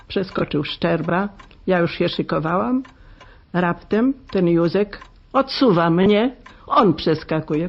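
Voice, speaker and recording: Elderly woman recollecting, slightly dull recording. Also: fairly low pitch. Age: 80s. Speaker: war veteran giving testimony. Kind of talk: spoken personal recollection.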